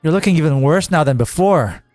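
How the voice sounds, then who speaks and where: A man's voice: on the high side, animated, fairly quick, a little mellow, dry recording. A man giving casual, slangy, joking commentary as he plays through a game. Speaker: game streamer. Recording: game music behind the voice.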